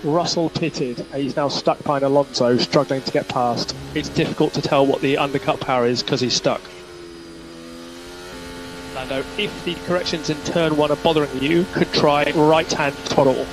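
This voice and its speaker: A man's voice: fairly high pitch, normal speed. Clipped male race engineer on team radio. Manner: clipped, procedural, repeats instructions. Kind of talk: team radio instructions to a racing driver.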